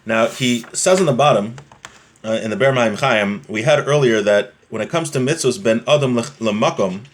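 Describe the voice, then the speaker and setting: A man's voice: medium pitch, moderate pace, a little hiss or hum. A man giving an informal religious lesson, reading from a text and explaining it conversationally with everyday examples and check-in questions. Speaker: religious teacher.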